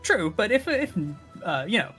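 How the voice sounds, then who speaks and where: A man with a bright, keen-edged voice talks casually as a player in a tabletop game. He feels his way through ideas haltingly, over faint music. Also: high-pitched, sing-song. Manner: hesitant, pieces ideas together aloud. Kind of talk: casual chat.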